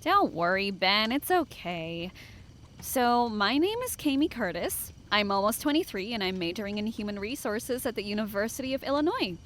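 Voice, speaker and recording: A woman's voice: medium pitch, sing-song, normal speed, a bit bright, a little hiss or hum. A woman playing an earnest, responsible camp counselor in her early twenties in an audio drama, prim and cautioning. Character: earnest, responsible, overachieving 22-year-old female camp counselor.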